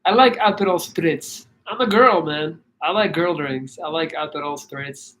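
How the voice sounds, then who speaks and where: A man's voice: a little high, measured pace, a bit bright. A man from Belgium chatting casually as a stream host, rambling with many restarts and fillers while answering viewer questions. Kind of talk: casual chat reading comments.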